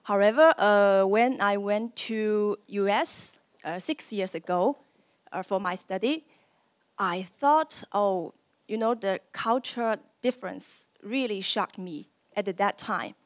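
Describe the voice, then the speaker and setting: A woman's voice: mid-range voice, slow pace. A young woman from China with a Chinese accent, asking an unscripted audience question in hesitant, piecemeal phrases. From Shanghai, China. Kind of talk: unscripted question at a public discussion.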